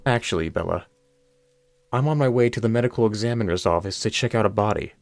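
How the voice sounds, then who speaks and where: A man's voice: lowish voice, fast talker, no echo, natural voice. A man playing a wry, unruffled supernatural cop in a horror audio story, speaking in a dry, casual manner. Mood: casually pointed.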